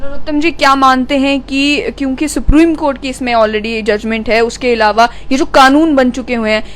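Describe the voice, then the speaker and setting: A woman's voice: high-pitched, steady tone, normal speed, a little hiss or hum. A woman reporter, asking long, probing questions in an interview.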